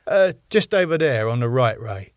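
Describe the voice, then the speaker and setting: A man's voice: mid-range voice, animated, moderate pace, smooth, no echo, studio quality, lightly acted. A man reading the part of a flustered young venue manager who hesitates and dodges questions. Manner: hesitant, full of uhs.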